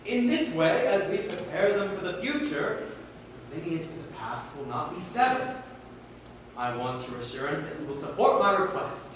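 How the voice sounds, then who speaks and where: A man's voice: high-pitched, very animated, fairly bright tone. A man acting an earnest teacher in a stage play, speaking formally and firmly as he pleads for his students. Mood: earnest, insistent. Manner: formal, deliberate projected delivery. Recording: echoey hall from far off, faint noise.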